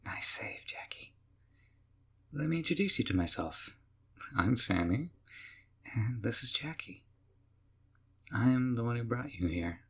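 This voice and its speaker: A young man voicing a doting, obsessive male kidnapper who talks softly and coaxingly, often in a whisper, with a husky voice. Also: medium pitch, expressive, unhurried, long pauses, heavily acted. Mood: fond. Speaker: voice actor. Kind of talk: audio roleplay.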